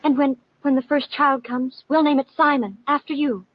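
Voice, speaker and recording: An actress playing a sentimental, lovestruck bride-to-be in a gushing 1950s radio drama style. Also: female voice, high voice, steady tone, moderate pace, lightly acted. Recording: muffled old 1950s radio broadcast.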